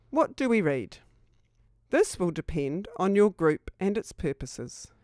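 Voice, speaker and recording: A woman's voice: on the low side, darkish tone, dry recording, studio quality. A woman reading a scripted instructional narration for a training video.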